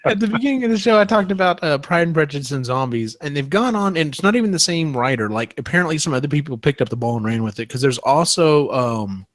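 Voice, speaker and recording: A man's voice: fairly high pitch, animated, fast, few pauses, no room sound. A man hosting a casual panel chat, rambling a little as he feels for words. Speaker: podcast host.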